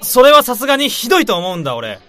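A man's voice: very high-pitched, wildly swooping pitch, fast talker, a bit bright, faint music behind, exaggerated voice. An actor playing a sulky, whiny, lovelorn young man in a radio drama, with blunt, casual, exclamatory speech. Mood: indignant.

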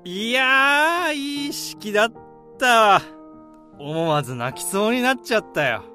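An actor in a Japanese radio drama playing a kind-hearted, forgiving young best friend, speaking in an earnest, expressive way. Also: male voice, very high voice, a little slow, faint music behind, exaggerated voice. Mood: wistful, admiring.

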